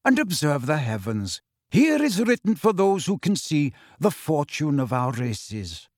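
A man voicing a calm, aloof male centaur teacher in an audiobook, speaking in a measured, solemn, formal way. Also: a little high, no room sound, clean studio sound, voice pushed up. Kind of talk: acted audiobook dialogue.

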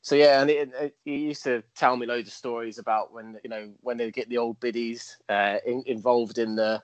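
A man's voice: medium pitch, steady tone, no echo. A man and professional wrestler in a casual interview, with frequent stammered restarts. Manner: stammering restarts, quick laughs.

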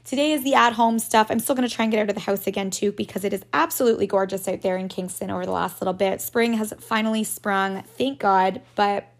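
A woman talks through a relaxed solo podcast in a raspy, slightly hoarse just-woke-up voice. She rambles chattily, laughs often and swears now and then, at a middling pitch for a woman. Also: fast, hardly any pauses. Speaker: podcast host. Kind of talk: casual solo podcast chat.

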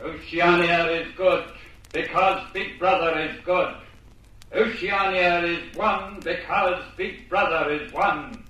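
Booming, echoing telescreen interrogator. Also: male voice, takes it slowly, sharp tone, faint music behind. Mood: fervent, declamatory. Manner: commanding, declamatory interrogator. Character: stern menacing male voice of a totalitarian telescreen. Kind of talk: radio drama acting. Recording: echoing, distant old radio drama recording.

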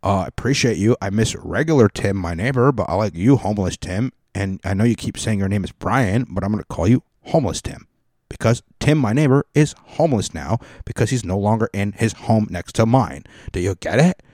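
A man puts on a rambling Californian guy calling in to a podcast. He meanders from point to point with endless "like" fillers, in a low, mellow voice. The sound is dry and close. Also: animated, brisk, no echo. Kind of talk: comic podcast bit.

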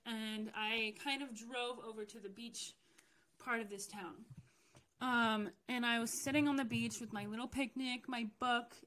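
A young American woman talks through her own experiences in a loose, candid way, full of fillers and quick self-corrections. Her voice is bright and a little high. She sounds like she is telling a friend a story. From United States. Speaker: podcast host. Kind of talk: casual personal storytelling.

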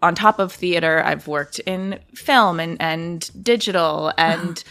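A woman's voice: lowish voice, slow pace, a bit bright. A woman chatting casually as a guest in an interview, talking as a creative producer. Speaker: podcast guest, audio drama producer.

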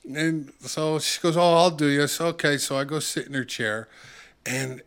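A man's voice: fairly high pitch, measured pace, clean tone. A man chatting casually as a podcast guest, telling rambling anecdotes and acting out the dialogue as he goes. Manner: rambling, animated retelling with quoted dialogue.

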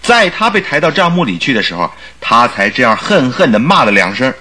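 An actor playing a stubborn, skeptical doctor who is a detective's companion, arguing theatrically and insistently in a Chinese radio drama. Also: male voice, mid-range voice, sing-song, fairly quick, pushed and bright, a little hiss or hum. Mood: stubborn, protesting.

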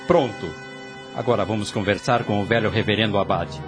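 A man's voice: on the low side, a little fast, natural voice. An actor playing an eager, lovesick young nobleman in a radio soap, theatrical, formal and exclamatory. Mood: resolved. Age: young adult. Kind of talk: radio soap opera acting. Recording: music under the voice.

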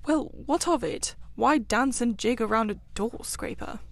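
A woman's voice: on the high side, measured pace, no room sound. A woman voicing a grumpy, literal-minded male mole in a storybook, with a plaintive, pettish, stubbornly matter-of-fact manner. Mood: grumbling.

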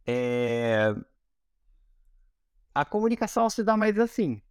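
A man's voice: a little high, sing-song, takes it slowly, clean tone, no room sound, studio quality. A man from Brazil with a Brazilian accent answers as an interview guest, explaining things conversationally and often checking in with small tag questions. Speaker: interview guest, product design manager.

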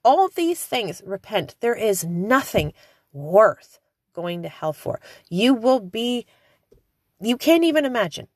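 A woman in her forties delivers an informal sermon with chatty fillers. Her pitch leaps high on emphatic calls. The recording is dry and close. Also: very animated, slow, no room sound. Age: 40s. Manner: conversational with frequent fillers. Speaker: preacher. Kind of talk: informal preaching.

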